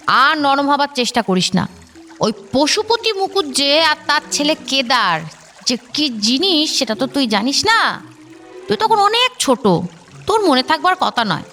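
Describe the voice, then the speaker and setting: A woman's voice: high voice, big pitch swings, a little slow, bright, forceful tone, soft background music, full character voice. A woman acting an embittered, protective aunt in a radio drama, with a firm, admonishing delivery. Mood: bitter, firm. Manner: emphatic, admonishing.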